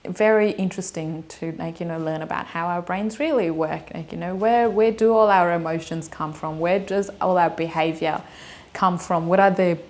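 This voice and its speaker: A woman's voice: lowish voice, gravelly. A young woman from Afghanistan in her twenties, hosting and casually telling her own life story in a chatty, hesitant way, with many fillers and light laughs.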